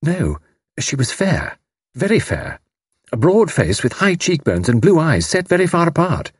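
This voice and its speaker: A male reader plays a practical, brisk woman in an audiobook. The voice is lifted and lively in pitch, firm and reassuring, with a dry recorded sound. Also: slightly rough, dry recording, heavily acted. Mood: brisk, explaining. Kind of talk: audiobook character voice.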